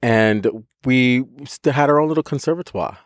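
A man co-hosting a podcast, telling a story in a lively, casual way, with frequent laughs, restarts and casual swearing. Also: medium pitch, moderate pace, dry recording, clean studio sound. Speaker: podcast co-host, voice teacher.

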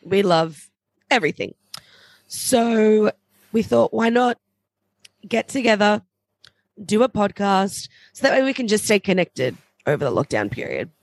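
A young woman with a slightly raspy voice, chatting casually as a podcast co-host. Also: medium pitch, sing-song, no room sound. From Australia. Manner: chatty, quick to laugh. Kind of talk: casual chat.

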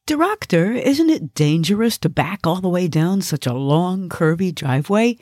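A woman's voice: lowish voice, lively intonation, normal speed, darkish tone, no echo, clean studio sound. A woman voicing a timid, polite, anxious sidekick in an audiobook, hesitant and cautioning. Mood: alarmed. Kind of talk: audiobook character voice.

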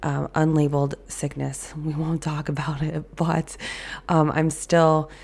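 Raspy, low-voiced woman hosting a podcast. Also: flat delivery, measured pace, a little mellow. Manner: chatty, loose, with many ums and restarts. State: hoarse after an illness. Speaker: podcast host. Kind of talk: casual solo podcast talk.

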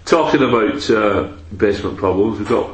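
A man's voice: on the low side, normal speed. A man hosting a casual football chat, rattling off stats and tag questions. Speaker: podcast host. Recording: echoey room, faint noise.

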